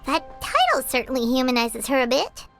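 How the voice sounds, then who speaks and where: A woman's voice: high voice, huge pitch swings, moderate pace, a bit bright, faint music behind, heavily acted. A woman voicing a small, childlike fairy companion in an anime game, cheeky and exclamatory. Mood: chatty. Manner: refers to self in third person, exclamatory.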